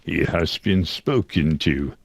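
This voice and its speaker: An actor playing a calm, cryptic male mystic in an adventure game, solemn and measured, speaking in riddles. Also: a bass voice, gravelly, full character voice.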